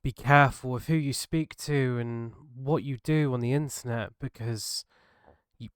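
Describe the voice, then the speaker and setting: A British man hosting his own podcast, talking earnestly and haltingly, his voice gravelly from a cold. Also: medium pitch, no room sound, clean studio sound. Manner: earnest, halting, restarts mid-thought. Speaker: podcast host. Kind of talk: solo reflective podcast monologue.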